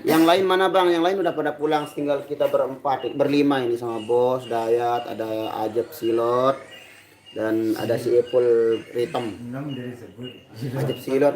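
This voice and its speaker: A man hosts a casual livestream in a mid-pitched, hoarse voice. He sounds like his throat is still recovering, and he greets people informally and unhurriedly. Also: poor audio. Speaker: livestream host and singer.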